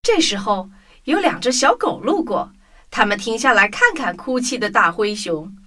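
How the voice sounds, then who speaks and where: A woman's voice: a little high, very animated, moderate pace, bright, forceful tone. A woman narrating a children's story in a scripted storytelling style. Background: music.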